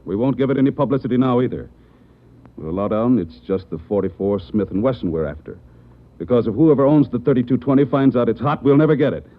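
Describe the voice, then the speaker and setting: A man playing a brisk, commanding police chief. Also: mid-range voice, phone-quality sound, close to an everyday voice. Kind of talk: 1940s radio acting. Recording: old radio broadcast, band-limited.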